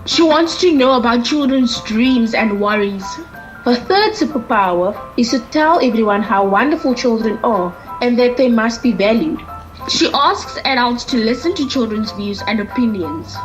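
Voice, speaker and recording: High-voiced woman narrator over prominent music. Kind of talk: scripted explanatory narration for children. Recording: dull lo-fi sound under prominent music.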